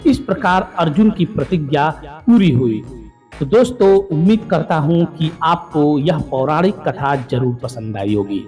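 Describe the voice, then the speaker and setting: Male narrator, slightly high voice, measured formal storytelling over faint music. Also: lively intonation, a little mellow. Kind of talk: scripted mythological narration.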